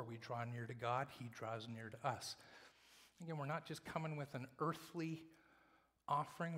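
A male preacher giving a sermon, moving between loud emphatic lines and hushed whispers. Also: mid-range voice. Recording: long reverb of a large hall.

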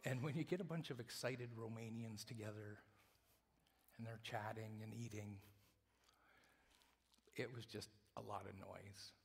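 A man's voice: on the low side, a little slow, gravelly, pauses often. Man preaching in a reverberant hall. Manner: dynamic, swings from loud emphasis to hushed whispers. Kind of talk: sermon. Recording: long reverb of a large hall.

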